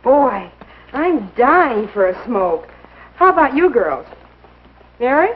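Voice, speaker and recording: A worldly, coaxing teenage girl from an old educational film. Her high voice swoops and lingers on words. The soundtrack is dull with faint noise. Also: very animated, slow pace. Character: pushy, worldly teenage girl hostess tempting friends. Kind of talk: 1950s instructional film acting.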